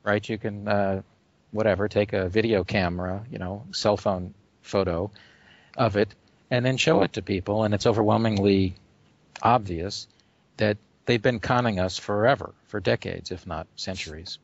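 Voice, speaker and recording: Low-voiced chatty male host. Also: normal speed, vocal fry, dry recording. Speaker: radio host. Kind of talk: casual interview talk. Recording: dull lo-fi audio.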